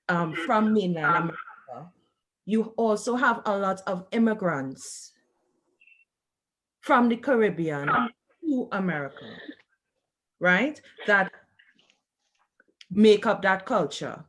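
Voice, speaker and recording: A woman from Trinidad, speaking in a community discussion in a hesitant, thoughtful way with many pauses. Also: lowish voice, fairly bright tone, poor audio. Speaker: debate participant. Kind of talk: discussion argument.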